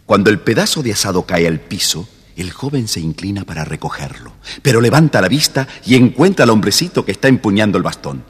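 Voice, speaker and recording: A man's voice: on the low side, very animated, brisk, few pauses, breathy edge, big-room sound. A man narrating a children's fairy tale, phrasing it carefully and dramatically. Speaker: storybook narrator.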